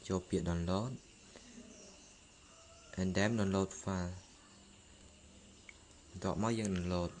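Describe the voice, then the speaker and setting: Guy doing a tutorial voiceover, deepish voice, choppy simple steps, quick when he gets going. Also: steady tone, a little mellow, a little hiss or hum. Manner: halting, plain phrasing, repeats each step in two languages. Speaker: tutorial narrator. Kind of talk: casual step-by-step tutorial voice-over.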